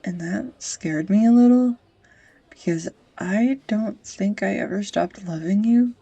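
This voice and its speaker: A woman acting an anxious, tender young woman in an intimate audio roleplay, speaking in a halting, confessional ramble on a close microphone. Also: medium pitch, warm tone, husky, dull audio. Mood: tender, vulnerable. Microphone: close USB studio microphone.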